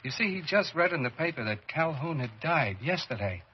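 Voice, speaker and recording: A man's voice: on the high side, very animated, a little fast. A man with an American accent playing a plain-spoken, skeptical working man in a radio horror drama, folksy and colloquial. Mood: puzzled. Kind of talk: old time radio horror acting. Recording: dull, hissy old radio recording.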